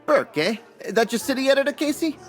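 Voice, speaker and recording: High-voiced, folksy barroom sidekick. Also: male voice, animated, a little fast, faint music behind. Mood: mild, curious. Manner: folksy, deferential, slangy. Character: earnest, slangy barman sidekick in old crime drama. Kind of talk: old-time radio character acting.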